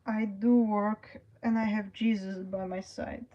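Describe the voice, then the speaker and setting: Young woman on a live stream, slow and halting, lots of ums, muffled lo-fi audio. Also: medium pitch, fairly even intonation, mellow. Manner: hesitant, many fillers. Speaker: livestreamer. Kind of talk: live stream, reading and answering chat.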